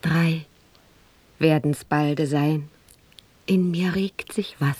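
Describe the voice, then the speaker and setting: A loving young countess in a radio fairy tale. She speaks slowly in verse, contented, in a dry studio sound. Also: low-pitched, fairly even intonation, a little mellow, slightly rough, no room sound, heavily acted. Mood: tender, content. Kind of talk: rhymed radio play acting.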